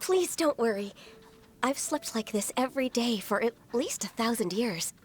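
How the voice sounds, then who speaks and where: A woman voicing a gentle, shy, dutiful half-human young woman in a video game, speaking politely and formally with some hesitance. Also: a little high, animated, normal speed, close to an everyday voice. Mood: bashful. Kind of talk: video game character dialogue.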